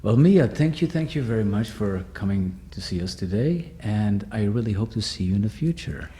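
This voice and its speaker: A man's voice: lowish voice, animated, normal speed, mellow. A man hosting a casual interview, asking loose questions full of fillers and restarts. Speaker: host.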